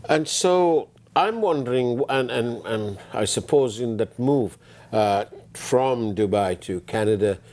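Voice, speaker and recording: A man from Sri Lanka hosting a studio interview, speaking haltingly with many fillers and restarts. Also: mid-range voice, animated, a little mellow. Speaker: talk show host.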